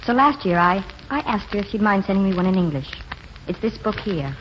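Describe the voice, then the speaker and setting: An actress playing a wistful, dreamy young waitress in a 1940s radio drama, speaking in a plain, earnest way. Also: female voice, mid-range voice, expressive, natural voice. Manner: plainspoken and earnest, slower when reciting verse. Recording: muffled, noisy 1940s radio broadcast.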